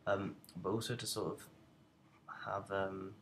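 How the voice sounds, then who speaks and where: Low, creaky young man explaining haltingly. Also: little pitch movement, fairly bright tone, slight background noise. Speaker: student tutorial presenter. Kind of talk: informal software tutorial narration.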